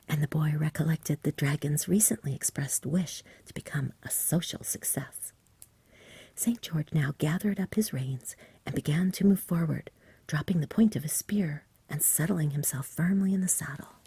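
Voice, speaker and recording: A woman reading a story in a hushed, soothing ASMR whisper, close to the microphone. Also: low voice, normal speed, no room sound. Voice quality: breathy whisper. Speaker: ASMR reader.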